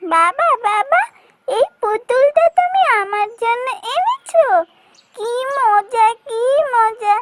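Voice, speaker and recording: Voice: extremely high pitch, big pitch swings, takes it slowly, fairly bright tone, exaggerated voice. A performer voicing a sweet, eager little village girl in a cartoon, with a pleading, affectionate, childlike manner. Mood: delighted. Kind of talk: cartoon voice acting.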